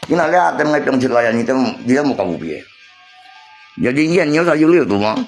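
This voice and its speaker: A man's voice: medium pitch, sing-song, brisk, faint music behind. A man chatting casually on a livestream, repeating himself and arguing his point to viewers. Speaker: live streamer.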